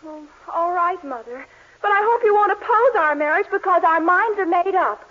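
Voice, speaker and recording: An actress playing a determined, girlish young bride-to-be in a 1940s radio sitcom, insistent and excitable. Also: extremely high pitch, moderate pace. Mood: reluctantly obedient. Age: young adult. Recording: very noisy, muffled 1940s radio recording.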